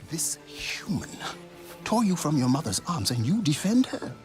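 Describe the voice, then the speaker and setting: An actress playing a bitter, exiled clan warrior, theatrical, heated and accusing, in a game drama. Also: female voice, sits low, very animated, normal speed. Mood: angry, accusing. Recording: music behind the voice.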